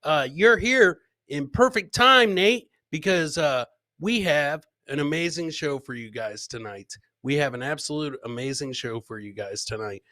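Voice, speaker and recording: A man's voice: on the high side, animated, a little slow, bright, forceful tone, no room sound. A man in his forties hosting a live comedy clip show in a casual, jocular, profane way, repeating his phrases, close on a podcast mic. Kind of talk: casual livestream chat. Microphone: close podcast mic on a boom arm, headset.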